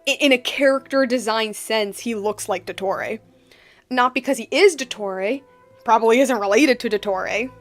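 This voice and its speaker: A woman giving casual, unscripted game commentary as a streamer, rambling and emphatic, often repeating her phrases. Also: a little high.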